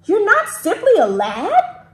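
Woman voicing a young storybook dragon, high and swooping. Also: very animated, slow, a bit bright, heavily acted. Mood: shocked. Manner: exclaiming, playful rhyming speech. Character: young male dragon, hungry, friendly, secretly soft-hearted.

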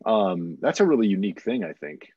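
A man's voice: fairly low pitch, big pitch swings, a little fast, slightly rough, dry recording. A man talking thoughtfully and explaining ideas as a panelist on a video call. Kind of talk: roundtable discussion. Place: home room with bookshelves. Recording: dry, muffled video call.

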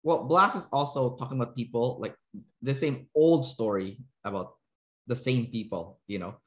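A man's voice: medium pitch, normal speed. A man interviewing someone informally on a video call, explaining things in a conversational way and repeating phrases as he goes. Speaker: interviewer, personality trainer. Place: room at home, indoors.

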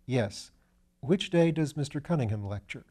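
A man voices a gentleman sleuth in a storybook. He is calm and courteous, coaxing answers with measured questions. The tone is dark and relaxed, at a medium pitch. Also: close to an everyday voice. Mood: patient, coaxing. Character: calm, courteous gentleman detective, patiently probing.

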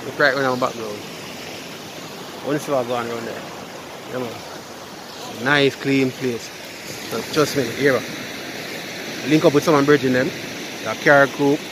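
Young Jamaican vlogger, loose and chatty, noisy outdoor phone audio. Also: male voice, medium pitch, steady tone, a little slow, long pauses. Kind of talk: casual vlog chat. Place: outdoors by a river cove. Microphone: phone camera at arm's length.

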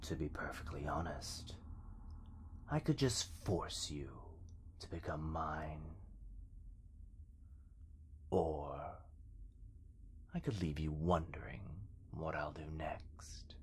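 Man voicing a whispering, menacing shadow monster, very slow. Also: a bass voice, a little hiss or hum. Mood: teasing, possessive. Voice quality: breathy, whispery. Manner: slow, drawn-out, teasing menace. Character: possessive, menacing male shadow monster, mockingly affectionate. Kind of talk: yandere ASMR roleplay.

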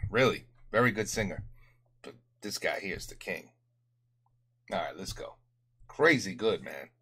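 A middle-aged man hosting a music reaction, talking through a headset at home with excited exclamations, his voice worn and going hoarse. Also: low-pitched, unhurried. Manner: animated, enthusiastic exclamations. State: losing his voice, clearing throat. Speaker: reaction channel host. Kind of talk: casual music reaction commentary. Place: home room, indoors.

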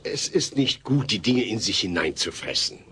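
A man's voice: lowish voice, animated, moderate pace, a bit bright. A man acting a patient, worried middle-aged father who explains and reassures in a sitcom audio play. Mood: patient reassurance. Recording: noisy old cassette audio play.

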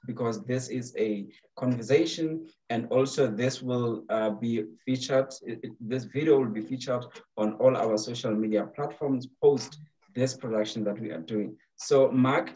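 A man's voice: mid-range voice, darkish tone, low-quality recording. Young man hosting a video call. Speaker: host. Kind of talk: online talk show hosting. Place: home room, video call. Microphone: webcam on a video call.

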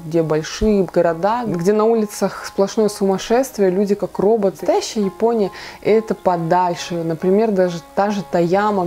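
A woman's voice: mid-range voice, warm tone. A young woman from Kazakhstan vlogging from her bedroom, reading viewer questions aloud and answering them in a chatty way. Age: young adult. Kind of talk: casual Q&A vlog. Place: small bedroom indoors.

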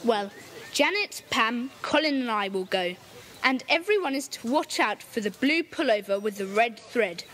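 A woman's voice: a little high, animated, normal speed, fairly bright tone. An actress playing a decisive young boy who leads a children's club. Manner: decisive, bossy. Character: boy Peter, the group's leader.

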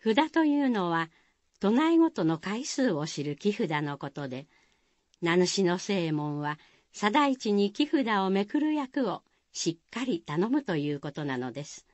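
A woman's voice: medium pitch, sing-song, a little slow, a little mellow, no echo, muffled recording. A woman narrating a Japanese village folktale in a scripted radio drama style. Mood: measured.

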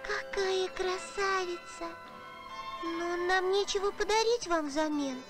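A woman voicing a brave, curious, excitable little girl space traveller in an animated film, with an eager childlike manner. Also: extremely high pitch, slow pace, over music. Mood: earnest. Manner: eager, bright-eyed, childlike. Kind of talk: Soviet animated film acting.

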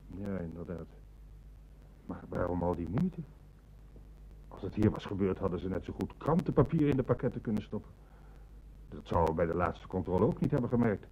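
An actor playing a formal, loyal bank manager in a radio play, speaking firmly. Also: male voice, sits low, brisk, pauses often, mellow, husky, dull audio. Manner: formal, firm. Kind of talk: Dutch radio play acting.

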